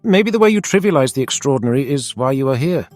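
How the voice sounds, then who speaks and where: A man voicing a poised, coy noblewoman who teases in formal, measured fantasy dialogue. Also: mid-range voice, animated, dry recording, soft background music, pitched above the performer's own voice, close to an everyday voice. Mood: teasing, admiring. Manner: formal, measured, gently teasing. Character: poised, coy noblewoman teasing her brother. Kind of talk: fantasy audiobook character dialogue.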